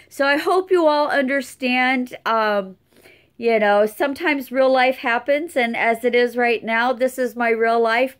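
A middle-aged woman giving a casual update from home, tired and a little hoarse, chatting with many fillers. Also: fairly high pitch, fairly bright tone. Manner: chatty, unhurried, lots of fillers. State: tired, losing her voice. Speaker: channel host. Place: cluttered room at home. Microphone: webcam close up.

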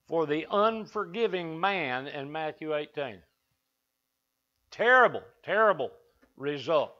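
A man's voice: a little high, bright, forceful tone. An older man with an Appalachian accent, preaching a folksy sermon to his congregation in a wood-panelled church. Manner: down-home, talks to the congregation and asks them back. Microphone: lectern mic from a far camera. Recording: dull lo-fi church recording.

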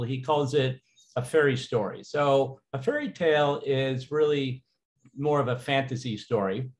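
Man, teacher voice over slides, relaxed and casual, many fillers. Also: medium pitch. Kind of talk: recorded slideshow lecture.

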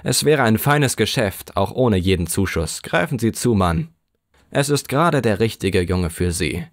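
A man doing a brusque, scornful gentleman. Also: fairly low pitch, expressive, a little slow, dry recording, clean studio sound. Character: harsh, dismissive gentleman official.

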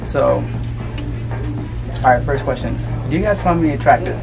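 A man's voice: mid-range voice, animated, moderate pace, creaky, over music, rough recording. A young man running a prank street interview in a shopping mall, talking into a handheld mic with cocky slangy banter and frequent laughs. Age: young adult. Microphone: handheld mic held near mouth.

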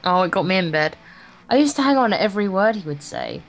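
A woman's voice: medium pitch, big pitch swings, fast, soft background music. A woman reading visual novel text aloud as a let's play co-host, dramatic and jokey. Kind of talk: story read-aloud with playful banter.

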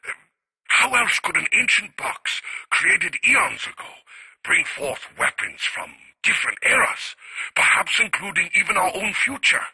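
An actor playing a grave, obsessed scientist who records formal, deliberate research logs for a video game. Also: male voice, sits high, expressive, gravelly, pushed and bright, breathy edge, no echo, exaggerated voice, poor audio. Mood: intrigued. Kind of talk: scripted audio-log monologue.

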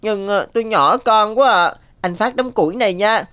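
A woman's voice: mid-range voice, normal speed, a bit bright, natural voice. A woman voicing a small, clever cartoon rabbit with an earnest, coaxing manner. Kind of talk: animated cartoon dialogue.

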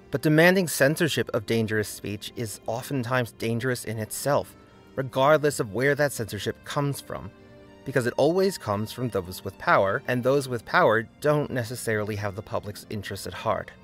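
A man's voice: mid-range voice, moderate pace, soft background music. Man narrating an earnest video essay. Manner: earnest, argumentative.

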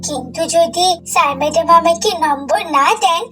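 A woman's voice: very high voice, steady tone, moderate pace, pushed and bright, recorded from a distance, soft background music. A woman voicing a resentful nine-year-old girl in a cartoon, whiny and accusing. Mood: indignant.

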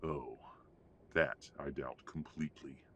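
A man acts out a domineering old-money werewolf father. His voice is extremely low and husky. He speaks formally and with measured condescension, as in an audio roleplay. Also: a bit bright, exaggerated voice. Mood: cold, smug. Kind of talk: audio roleplay drama acting.

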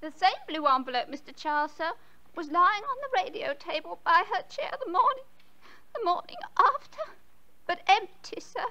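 A woman's voice: extremely high pitch, very animated, normal speed, sharp tone, full character voice. An actress playing a timid, earnest housemaid in a radio drama, meek and respectful toward her betters. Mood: deferential.

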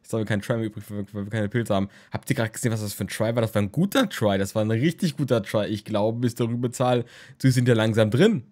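A man's voice: fairly low pitch, fast talker, darkish tone. Sleepy young male let's-player, rambling and jokey, casual game commentary at a home desk mic. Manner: rambling, jokey, crude humor. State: tired, just woke from a nap. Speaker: let's play host.

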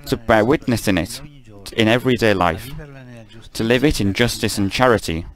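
A man giving a live spoken translation of a homily, measured, with frequent stumbles and self-corrections. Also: lowish voice.

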